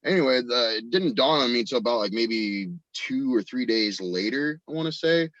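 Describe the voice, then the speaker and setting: A man's voice: mid-range voice, expressive, moderate pace, fairly bright tone, no room sound, dull audio. A man in a casual chat as a guest, telling personal anecdotes in an informal, rambling way. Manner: informal and rambling, quotes people in acted snippets. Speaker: podcast guest, audio drama actor and writer.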